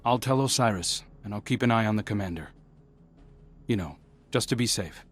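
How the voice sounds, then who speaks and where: An actor voicing a watchful, guarded lone scout in a video game, with a wry, understated, conversational delivery. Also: male voice, fairly low pitch, expressive, moderate pace, soft background music, close to an everyday voice. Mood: wry, concerned. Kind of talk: game character mission dialogue.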